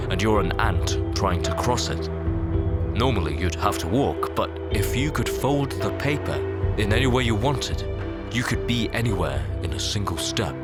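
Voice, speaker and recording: A man playing a cocky, wry space pilot with a sense of wonder in a scripted audio drama. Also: low-pitched. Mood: reflective, wry. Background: music under the voice.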